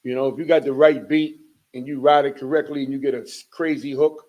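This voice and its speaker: A man's voice: medium pitch, flat delivery, a little fast, highs cut off. A man hosting a casual interview, easygoing and encouraging, with slangy talk. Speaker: podcast host.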